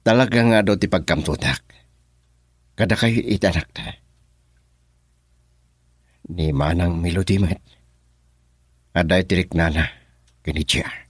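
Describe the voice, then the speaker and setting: A man's voice: deep voice, a little slow, pauses often, slightly rough, dry recording. An actor with an Ilocano accent playing a doting husband and father in a radio drama. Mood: fond, cheerful.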